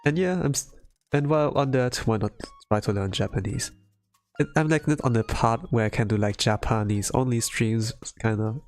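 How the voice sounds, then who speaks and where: A man's voice: mid-range voice, normal speed, darkish tone. German young man streamer, casual rambling chat, faint music behind. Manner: rambling, many fillers.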